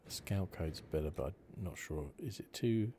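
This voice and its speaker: A man hosting an ASMR gaming video, giving hushed, relaxed, conversational commentary. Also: sits low, moderate pace. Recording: game sounds noisy behind voice. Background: video game audio.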